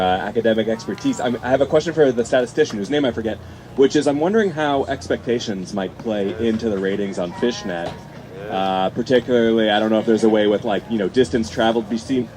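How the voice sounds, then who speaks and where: Male audience questioner, rapid and informal, slightly low register, recorded outside amid clear background noise. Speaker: audience member asking a question. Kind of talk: casual spoken question. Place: outdoors at a campground. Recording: noisy outdoor event recording. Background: clear outdoor ambient noise.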